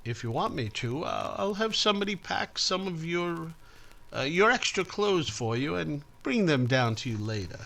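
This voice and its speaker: A man playing a hesitant, kindly small-town police chief, halting and apologetic, in an old-time radio drama. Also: a little high, very animated, creaky, sharp tone, a little hiss or hum, exaggerated voice. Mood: awkward.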